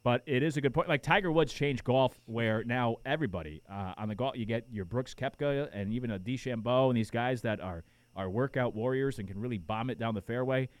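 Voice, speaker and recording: A man's voice: medium pitch, brisk, no echo. A man hosting a sports talk radio show in a studio, talking casually with lots of fillers while he is losing his voice. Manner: casual, rambling, many fillers. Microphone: studio microphone.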